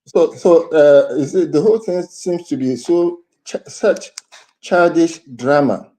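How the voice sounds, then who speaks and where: A man giving news commentary as a panelist, halting and restarting his words. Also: on the high side, dark tone, low-quality recording.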